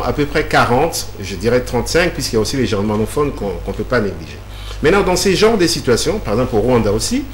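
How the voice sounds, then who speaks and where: A man answering interview questions at length, explaining patiently with many fillers and restarts. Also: mid-range voice. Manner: hesitant, many fillers and repeated words. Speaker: guest. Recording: echoey room with faint hum.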